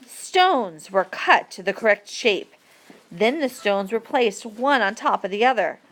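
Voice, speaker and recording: A woman reading an educational passage aloud as a teacher, with deliberate, measured phrasing for learners. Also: medium pitch, animated, fairly bright tone. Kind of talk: read-aloud educational narration.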